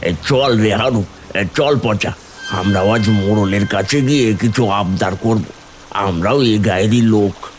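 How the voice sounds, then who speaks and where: Scheming village idler played by a man: low pitch, aggrieved, wheedling, cartoon tale, soft music behind. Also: measured pace, close to an everyday voice. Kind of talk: Bengali animated village story dialogue.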